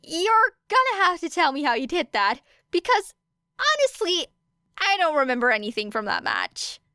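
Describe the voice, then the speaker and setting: Woman as teenage boy, sheepish, goofy, blurting, high pitch, lively, audiobook. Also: moderate pace, dry recording, studio quality, exaggerated voice. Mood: amazed. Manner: hesitant, blurting, slangy.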